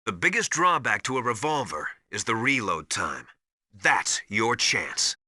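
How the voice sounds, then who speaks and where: Bright, forceful survival mentor. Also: male voice, on the low side, sing-song, a little slow, no room sound, clean studio sound, exaggerated voice. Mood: matter-of-fact briefing. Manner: instructive, matter-of-fact, encouraging. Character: seasoned male survival instructor, encouraging military mentor. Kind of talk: video game radio briefing.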